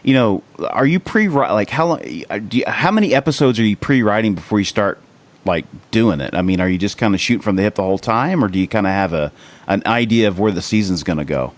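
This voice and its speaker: A man's voice: on the low side, expressive, fast. An American man co-hosting a podcast, chatting casually with loose, repeated words and some swearing. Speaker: podcast co-host. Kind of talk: casual chat, profane.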